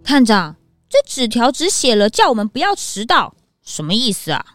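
A woman's voice: a little high, sing-song, moderate pace, faint music behind. A woman playing an eager, impulsive young detective's sidekick in a children's audio drama. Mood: curious.

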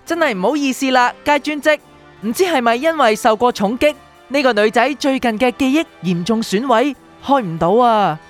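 Radio drama supporting role, female actor: formal, deferential memory doctor, highish voice, Hong Kong Cantonese accent. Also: animated, moderate pace, close to an everyday voice. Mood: apologetic, deferential. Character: polite, evasive female memory-department doctor, deferential to superiors. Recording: music bed behind the voice.

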